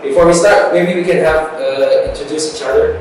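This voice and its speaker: A young man with an Indonesian accent hosts a casual game in halting, non-native English with loose grammar. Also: fairly high pitch. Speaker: host. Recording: echoey room, background music.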